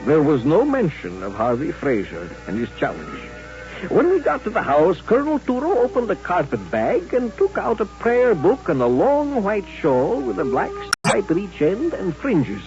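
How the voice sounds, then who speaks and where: An actor playing a reflective frontier storyteller, narrating remembered events in a scripted old-time radio western. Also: male voice, high-pitched, huge pitch swings, moderate pace, music in the background, heavily acted. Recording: dull old radio recording.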